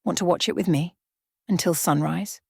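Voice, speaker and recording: Ancient vampire woman, female reader: measured, regretful, quietly dangerous, slightly low register, bedtime-story delivery. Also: creaky, slightly rough, no echo, studio quality. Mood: soft, teasing. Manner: grave, measured, softly spoken.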